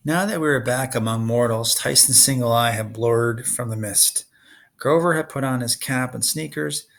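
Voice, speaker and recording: A man with a creaky voice reading a novel aloud as a read-along narrator, expressive with the dialogue. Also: medium pitch, moderate pace. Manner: expressive reader who acts out the dialogue.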